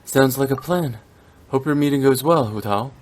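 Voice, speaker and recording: A man's voice: mid-range voice, normal speed, natural voice. A man playing an easygoing young male adventurer in a fantasy video game. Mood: friendly, calm. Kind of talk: animated game dialogue.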